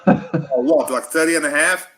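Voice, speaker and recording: A man's voice: a little high, moderate pace, a bit bright, a little hiss or hum. A man in his forties chatting casually on a live stream, talkative and rambling, with restarts and the odd curse. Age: 40s. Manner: talkative, rambling, with frequent restarts and mild cursing. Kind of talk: casual chat.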